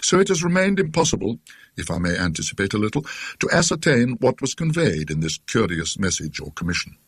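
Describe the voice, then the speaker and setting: A man's voice: on the low side, sing-song, brisk, breathy edge, no echo. A British man narrating a classic ghost story in a dry, scholarly, theatrical manner.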